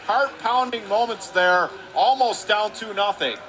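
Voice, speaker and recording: A man calling live radio play-by-play of a hockey game, talking rapidly and rising to excited shouts on close plays. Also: high-pitched, slight background noise.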